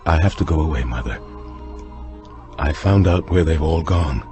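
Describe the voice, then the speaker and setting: An actor playing a somber, remorseful grown son in a radio drama, speaking in a hushed, halting way. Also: male voice, rumbling low, expressive. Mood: mournful, resigned. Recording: somber music behind the voice.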